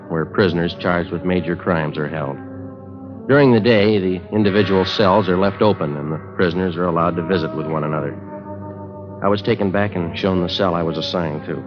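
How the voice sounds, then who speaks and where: Deadpan low-voiced actor as a police detective. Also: male voice, brisk, lightly acted. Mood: flat, factual. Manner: deadpan, matter-of-fact, terse. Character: laconic, unflappable male police detective sergeant, narrating his case. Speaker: lead actor and narrator. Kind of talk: 1950s radio drama, clipped procedural narration. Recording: dull vintage radio recording with music.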